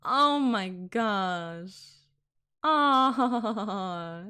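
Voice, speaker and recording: A woman streamer reading a visual novel aloud and commenting on it, excitable and gushing, often giggling. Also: medium pitch, very slow, clear, resonant tone. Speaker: VTuber streamer.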